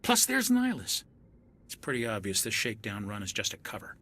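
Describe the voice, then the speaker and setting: A man's voice: fairly low pitch, wildly swooping pitch, a little fast, sharp tone, exaggerated voice. An actor playing a wary young male soldier in a video game cutscene, speaking in an earnest, suspicious, level-headed way.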